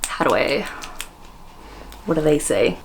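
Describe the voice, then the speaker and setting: Australian woman vlogger, low-ish nasal voice, chatty and self-mocking, light hiss. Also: lively intonation, measured pace, poor audio. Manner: giggly, self-deprecating, chatty with many fillers. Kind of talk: casual chat attempting accent imitations.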